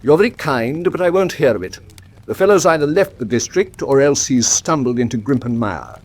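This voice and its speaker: An actor playing a civil but controlling gentleman in a radio drama, speaking with clipped, polite firmness. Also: medium pitch, lively intonation, normal speed, natural voice. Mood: polite, firm.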